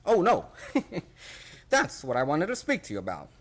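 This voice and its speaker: An actor playing a fussy, pompous, cowardly store psychologist in a radio play, officious and easily flustered. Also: male voice, a little high, wildly swooping pitch, moderate pace. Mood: ingratiating, flustered. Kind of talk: stage-style radio play acting.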